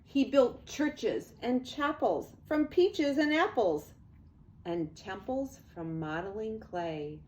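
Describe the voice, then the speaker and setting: Grandma type lady reading a picture book out loud, really slow and expressive, voice on the high side. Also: slight background noise, poor audio. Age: older. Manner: expressive, deliberate storytelling. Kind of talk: children's storybook read-aloud.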